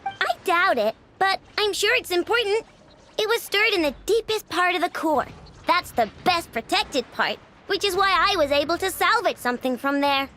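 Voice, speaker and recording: A woman voicing an excitable, cocky young girl inventor in a video game, bubbly and boastful, full of exclamations. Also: very high-pitched, normal speed, a little hiss or hum, exaggerated voice. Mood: eager, matter-of-fact.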